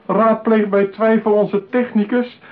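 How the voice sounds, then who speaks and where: A man's voice: sits high, little pitch movement, a little slow. An actor in a radio play playing a lonely, possessive man besotted with his mechanical bride, talking theatrically. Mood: anxious reading. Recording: tinny narrow-band radio play, clear hiss.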